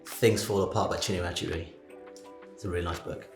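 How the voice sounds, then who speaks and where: A man in his late thirties from Birmingham talks candidly about his own life. His voice sits fairly low and carries a roomy echo, with soft music under it. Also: steady tone, brisk, creaky. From Birmingham, England. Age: 30s. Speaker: interviewee. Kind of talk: candid personal interview.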